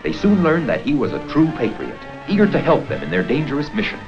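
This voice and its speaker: Lively male narrator on dull old film soundtrack. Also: fairly high pitch, fairly quick, loud music behind the voice. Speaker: narrator of an educational history film. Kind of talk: scripted documentary narration.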